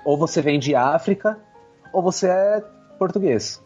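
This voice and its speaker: A man's voice: fairly high pitch, expressive, unhurried, darkish tone, slightly rough, soft background music. A Brazilian man co-hosting a podcast, casually explaining history. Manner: explanatory, informative. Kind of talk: casual conversational chat on history. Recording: slightly dull lo-fi sound.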